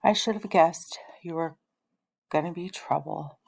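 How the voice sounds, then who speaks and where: A gentle, reserved preacher and father from a storybook, read by a woman in a low register. His speech is measured and deliberate. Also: gravelly, slightly rough, heavily acted. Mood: gently resigned.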